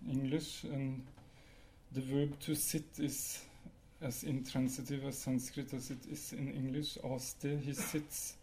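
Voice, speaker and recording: A man whose first language is Norwegian, giving a measured academic lecture with pauses and occasional fillers. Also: fairly high pitch, warm tone, slight background noise.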